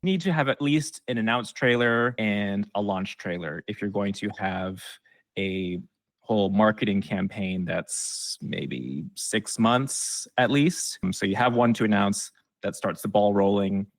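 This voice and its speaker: A man's voice: fairly low pitch, measured pace, dry recording. A man giving conversational, explanatory advice as an expert guest in an interview. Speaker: guest expert on game trailers.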